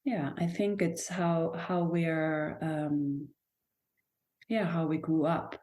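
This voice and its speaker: Slow, soft-spoken German woman. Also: low-pitched, flat delivery. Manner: hesitant, searching for words with many restarts. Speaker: guest, dance and movement teacher. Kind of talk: reflective podcast conversation.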